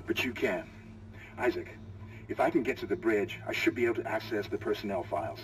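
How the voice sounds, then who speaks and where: A man's voice: fairly low pitch, a little fast, a little hiss or hum, close to an everyday voice, poor audio. A man acting a commanding, urgent spaceship officer in a video game.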